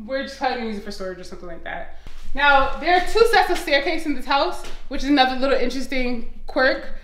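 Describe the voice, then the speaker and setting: A woman's voice: high-pitched, animated, quick pace, fairly bright tone. A young American woman chatting casually and enthusiastically to camera as she gives a walk-through tour of a home. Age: young adult. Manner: upbeat, enthusiastic. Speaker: vlogger giving a home tour. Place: empty rooms of a house under renovation, indoors. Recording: echoey empty rooms.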